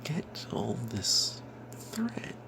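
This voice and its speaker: A man telling a fantasy tabletop tale as whispered ASMR, in a breathy, halting way. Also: mid-range voice, sing-song.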